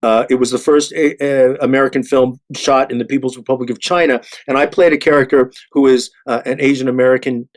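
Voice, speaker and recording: A middle-aged man, an actor, talks reflectively in a panel discussion, haltingly, often repeating and restarting his words. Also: mid-range voice, fairly even intonation.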